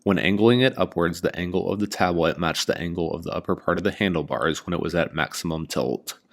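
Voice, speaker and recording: A man reading a scripted product review as host, in a measured, informative way. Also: deep voice, gravelly.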